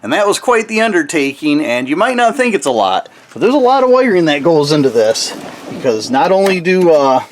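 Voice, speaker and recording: A man's voice: on the high side, big pitch swings, fairly quick, a little hiss or hum. A man hosting a DIY build video, talking informally and folksily through a casual hands-on demonstration. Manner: informal, folksy, mild slang. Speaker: host of a DIY truck build channel.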